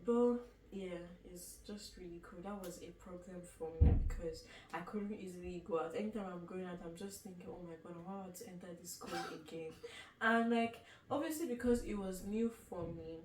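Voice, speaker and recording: A woman's voice: on the low side, brisk. Young Nigerian woman, chatty and laughing. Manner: laughs often, chatty and animated. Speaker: guest student. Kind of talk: casual chat. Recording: echoey room from far off, faint noise.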